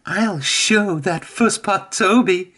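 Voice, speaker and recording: A man's voice: high-pitched, takes it slowly, sharp tone, voice pushed up, exaggerated voice. A man voicing a boastful, bossy young female railway engine in a children's storybook. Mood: smug boasting.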